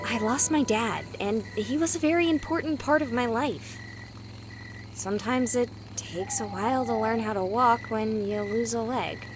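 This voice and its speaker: A woman's voice: a little high, moderate pace, lightly acted. A woman voicing a candid, wry young woman in a visual novel, conversational and a little guarded. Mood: candid, reflective. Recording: music and night insects behind. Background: music, crickets and frogs.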